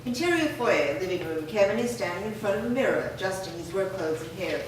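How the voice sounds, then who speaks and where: A woman's voice: fairly low pitch, lively intonation, fast talker. Woman, stage directions, plain reading, far away, echoey room, noise. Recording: roomy echo, far from mic, noise.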